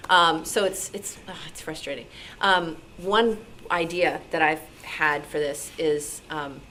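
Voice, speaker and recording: A woman speaking informally at a club meeting, animated and given to acting out other people's remarks. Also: low voice, slow pace. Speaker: radio station programmer. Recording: clear background noise, live meeting room.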